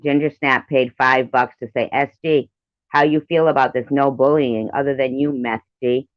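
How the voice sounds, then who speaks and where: A man's voice: medium pitch, steady tone, normal speed, no room sound. Dull-sounding, argumentative man commentating. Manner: argumentative, blunt, swearing. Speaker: live stream host. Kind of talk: reaction commentary over played clips. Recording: dull lo-fi close microphone.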